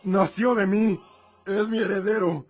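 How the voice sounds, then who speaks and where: Man playing an anguished high-voiced warrior father. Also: fairly even intonation, unhurried. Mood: pleading. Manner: desperate, pleading, intense. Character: anguished, devout warrior commander and grieving father. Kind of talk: fantasy radio drama acting. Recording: dull lo-fi recording.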